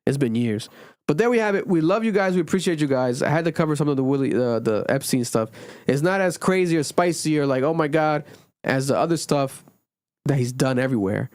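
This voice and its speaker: A young man hosts a podcast, talking loosely and animatedly, and his fairly high voice shoots up whenever he jokes. The recording is dry and close. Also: a little fast, dry recording. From Rhode Island, USA. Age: 20s. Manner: animated, swings high when joking. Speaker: podcast host. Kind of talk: casual podcast chat. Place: home recording during a blizzard.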